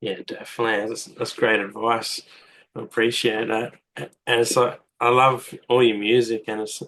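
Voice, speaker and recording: A man's voice: mid-range voice, little pitch movement, moderate pace, fairly bright tone, low-quality recording. A man hosting a casual interview, eager and full of compliments, sometimes stumbling over his words. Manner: enthusiastic, complimentary, stammers a little. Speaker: interviewer, host.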